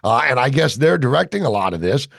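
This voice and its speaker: A man's voice: medium pitch, very animated, quick pace, gravelly, a bit bright, no room sound, clean studio sound. A man hosting a talk radio show, chatting with enthusiasm.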